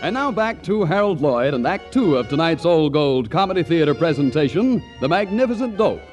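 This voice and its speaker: A man announcing on vintage radio, with a fairly high, animated voice. He sells in a polished, genial 1940s manner, with some music in the broadcast. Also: moderate pace. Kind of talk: 1940s radio sponsor pitch.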